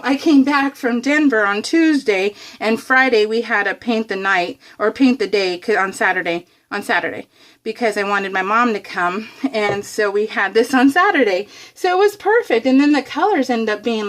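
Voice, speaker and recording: Chatty, high-voiced woman with a giggly manner. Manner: bubbly, giggly, rambling. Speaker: craft vlogger. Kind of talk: casual chat while showing scrapbook layouts. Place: indoors, room at home.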